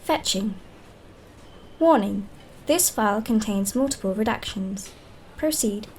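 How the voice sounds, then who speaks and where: A woman's voice: mid-range voice, expressive, unhurried, a little mellow, a little hiss or hum. A woman voicing a polite, impassive computer interface in a science-fiction audio drama, with formal, emotionless delivery.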